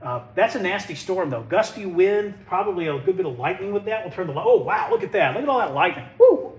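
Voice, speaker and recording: A man's voice: high-pitched, sing-song, fast, breathy edge. A man, a TV meteorologist chatting folksily through a live weather stream while sniffling with a head cold. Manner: folksy, chatty, joking asides. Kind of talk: casual livestream weather commentary.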